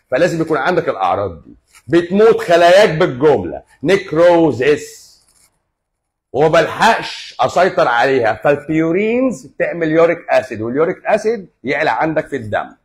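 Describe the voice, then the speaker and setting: A man's voice: fairly high pitch, reverberant. A man with an Egyptian Arabic accent giving a health lecture on a livestream, stressing and repeating his points and mixing in English medical terms. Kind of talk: livestream lecture with viewer questions. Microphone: livestream microphone.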